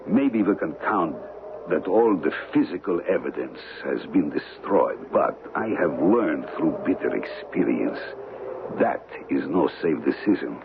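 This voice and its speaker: Deep, gravelly, menacing criminal. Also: male voice, a little slow, exaggerated voice. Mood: cold, calculating. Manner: calm, formal, precise, threatening. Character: cold menacing male criminal without conscience. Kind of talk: old-time radio drama acting. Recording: noisy muffled old radio broadcast.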